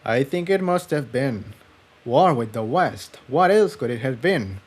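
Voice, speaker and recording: A man voicing a thoughtful, wary male unicorn adventurer in a story reading, serious and measured. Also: mid-range voice, lively intonation, breathy edge.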